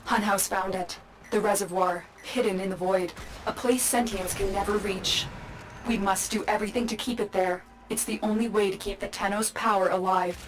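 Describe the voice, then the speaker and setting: A commanding mission guide, played by a woman, delivers firm, composed instructions. Her voice sits at a middle pitch with a faint hiss of ambience behind it. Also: fairly even intonation, normal speed, natural voice, low-quality recording. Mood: urgent. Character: calm, commanding female mission guide, protective.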